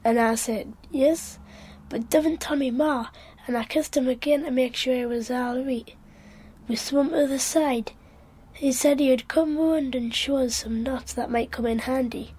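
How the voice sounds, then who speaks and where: A woman's voice: high voice, steady tone, measured pace, a little mellow, breathy edge. A woman playing an earnest, inquisitive little Geordie boy in a radio drama, speaking in a naive, wide-eyed way. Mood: dreamy, earnest. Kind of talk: radio drama monologue and dialogue.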